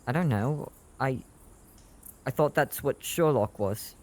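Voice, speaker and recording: A man's voice: medium pitch, slow pace, close to an everyday voice. A man playing an earnest, guilt-ridden young street boy who leads reluctantly in an audio drama. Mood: determined. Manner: earnest, troubled. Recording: music under the voice.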